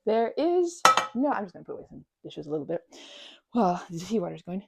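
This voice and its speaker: A woman chatting casually to the listener as a best friend in an ASMR roleplay, bubbly and expressive, rambling and changing course mid-thought. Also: lowish voice, fairly quick, creaky, very noisy.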